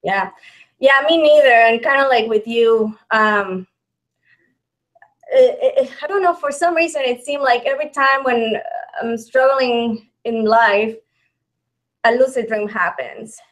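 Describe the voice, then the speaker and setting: Woman, Mexican accent with a Texan touch, fairly high voice, chatty, laughs easily. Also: measured pace, pauses often, a bit bright. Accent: Mexican with some Texan. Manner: chatty, laughs often, restarts phrases. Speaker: channel host. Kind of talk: casual chat reading viewer questions.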